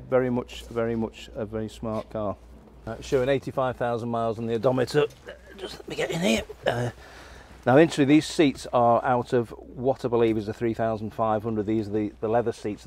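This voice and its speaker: Middle-aged man, lowish voice, easygoing off-the-cuff auction presenter, warehouse, phone-recorded. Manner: relaxed and conversational, with frequent fillers and restarts. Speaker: classic car auction presenter. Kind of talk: unscripted walkaround car presentation. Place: large indoor auction warehouse.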